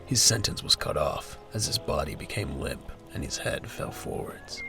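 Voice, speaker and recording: A man's voice: a bass voice, expressive, normal speed. A man reading scripted narration for a military audio drama as its narrator. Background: music.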